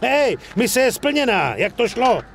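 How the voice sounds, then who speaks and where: An actor playing a brash, cocky, streetwise young mobster buddy with a swaggering, slangy way of talking in a crime game. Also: male voice, big pitch swings, vocal fry, a little hiss or hum, full character voice. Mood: breezy, streetwise. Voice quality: high-pitched, slangy, swaggering. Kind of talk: dubbed crime game dialogue.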